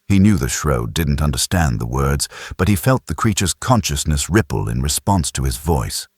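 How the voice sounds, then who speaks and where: Extremely deep, husky male narrator. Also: very animated, normal speed, no echo, studio quality. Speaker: audiobook narrator. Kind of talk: dramatic scripted narration.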